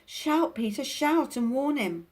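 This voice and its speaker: A woman's voice: sits low, lively intonation, normal speed, a little mellow. A woman doing an anxious young girl. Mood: alarmed. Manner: worried, pleading.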